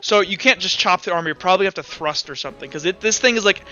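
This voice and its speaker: High, bright-voiced man running a game. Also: rapid-fire, muffled recording. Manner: casual, thinks aloud, counts out dice results. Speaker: game master. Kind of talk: running a tabletop roleplaying game, improvised rules talk and narration.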